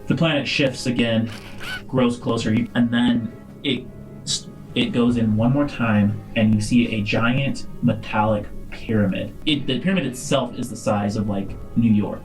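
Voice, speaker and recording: A man's voice: mid-range voice, unhurried, rough recording. Man narrating a sci-fi game over music. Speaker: game master.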